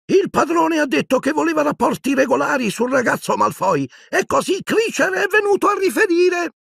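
A croaking, bitter old house-elf. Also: male voice, very high voice, expressive, normal speed, a bit bright, dry recording, studio quality, pitched above the performer's own voice, exaggerated voice. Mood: muttering.

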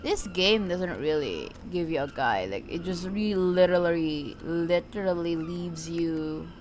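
Casting: female gaming streamer, lowish voice with big pitch swings, swears freely, clear game-ambience noise behind. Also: lively intonation, measured pace, a little mellow. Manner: sweary, exclamatory, talking to herself. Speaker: gaming video creator. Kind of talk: casual let's-play commentary. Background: video game ambience sounds.

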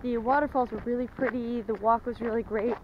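A young woman talks casually to a camera held at arm's length as she walks a rocky trail. Her voice sits high. The outdoor recording is muffled, with a steady wash of wind noise behind her. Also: a little fast. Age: young adult. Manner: chatty, talking to a handheld camera while walking. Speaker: travel vlogger. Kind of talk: casual travel vlog.

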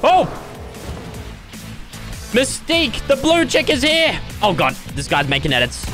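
A young man commentating his own gameplay, loud and dramatic, with squealing yelps and groans, his voice starting to go hoarse. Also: very high voice, wildly swooping pitch, measured pace, over music. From Australia. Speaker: gaming YouTuber.

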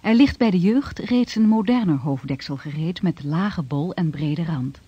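A woman's voice: fairly low pitch, expressive, normal speed, warm tone, slightly rough, no echo. A woman reading scripted narration for a radio drama, in a dry, slightly dull recording.

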